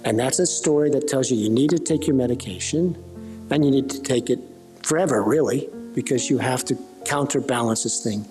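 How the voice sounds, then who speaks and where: A man of middle pitch gives explanatory commentary. He builds his points by emphatic repetition. Faint music sits under the interview. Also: a little fast, darkish tone.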